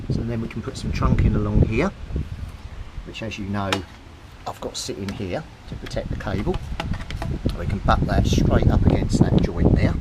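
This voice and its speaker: A man casually explaining a hands-on DIY job as he works, in an informal, matter-of-fact way. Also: mid-range voice. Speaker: DIY video maker. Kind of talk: casual hands-on demonstration, talking to camera while working. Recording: very noisy handheld recording with clicks, rustling.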